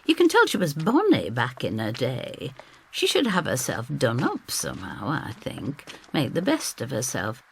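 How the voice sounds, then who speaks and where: A woman's voice: extremely low pitch, wildly swooping pitch, moderate pace, vocal fry, slight background noise, exaggerated voice. An actress playing a stubborn, loyal, chatty older Northern English woman who tells her story in an audio drama. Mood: wry, confiding. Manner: chatty, gossipy, blunt Northern phrasing.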